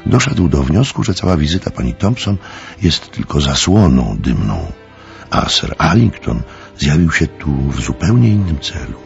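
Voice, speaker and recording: A man narrates a suspense story in an extremely low, gravelly voice with lively intonation. Music plays faintly behind him. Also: moderate pace, muffled recording.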